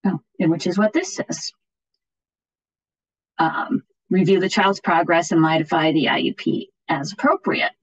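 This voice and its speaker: A woman's voice: on the low side, measured pace, husky, no echo, poor audio. A woman presenting an informal training webinar in a plain-spoken, explanatory way, often checking in with listeners. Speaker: training presenter for education officials. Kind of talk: informal webinar instruction with slides. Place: online video-call webinar.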